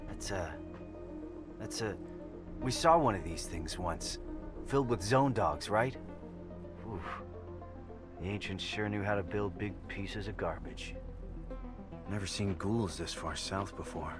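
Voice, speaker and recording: Game mutant: fairly low, cocky joker, casual and sardonic, music underneath. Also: male voice, a little slow, long pauses. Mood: wary, wry. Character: cocky, wisecracking, laid-back male mutant stalker. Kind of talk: video game character acting.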